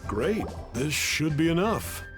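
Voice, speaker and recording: A man's voice: on the high side, big pitch swings, slow. A man playing a friendly, obliging young cook in a video game, with an easygoing, helpful manner. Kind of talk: video game character dialogue. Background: music.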